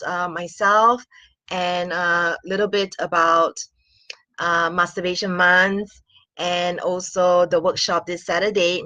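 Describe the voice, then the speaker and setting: A woman's voice: on the low side, sharp tone, dry recording, lo-fi sound. A woman, talking earnestly to a webcam in a small room, with many fillers and little laughs. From Singapore. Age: 40s. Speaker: sex and intimacy coach. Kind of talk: casual talking-head vlog.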